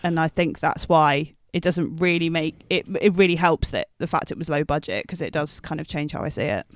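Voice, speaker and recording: A man's voice: fairly high pitch, fairly quick, no room sound. A man co-hosting a casual film podcast, giving opinions in an informal, conversational way. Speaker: podcast co-host, writer.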